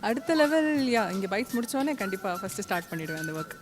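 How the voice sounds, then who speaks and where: A woman's voice: mid-range voice, very animated, very fast. A woman with a Tamil accent answering casually as a singing contestant in an on-camera interview. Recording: music behind the voice.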